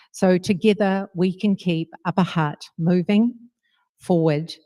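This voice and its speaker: Woman, deputy mayor, confident polished appeal, very low voice, dry sound. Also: warm tone, no echo. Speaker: deputy mayor and council candidate. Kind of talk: campaign closing statement.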